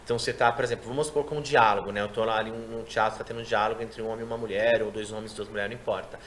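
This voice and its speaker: A man who works as a sign language interpreter, chatting in an interview and explaining his work in a lively way. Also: fairly low pitch, slight background noise. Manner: quick, explanatory, animated delivery. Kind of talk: casual interview chat.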